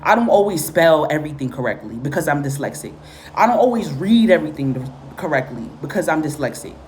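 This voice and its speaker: Low-voiced woman, spanish first language, doing a reading and cussing a lot, hammering points over and over. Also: sing-song, brisk, a little mellow, slight background noise. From Guatemala and Honduras. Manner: blunt, profane, emphatic and repetitive. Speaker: tarot and spiritual reader. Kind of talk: casual spoken reading with commentary.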